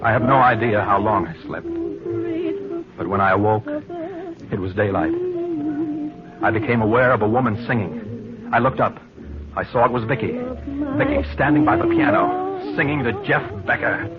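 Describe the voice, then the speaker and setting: A man's voice: mid-range voice, animated, normal speed, pauses often, natural voice. Male actor as troubled husband and narrator, formal and earnest, vintage radio drama; muffled recording with music behind. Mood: troubled, reflective. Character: possessive, increasingly troubled husband narrating his story.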